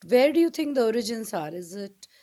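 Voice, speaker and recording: A woman's voice: a little high, very animated, brisk, mellow. A woman from India co-hosting a podcast in casual conversation, choosing her words tentatively and carefully. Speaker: podcast co-host.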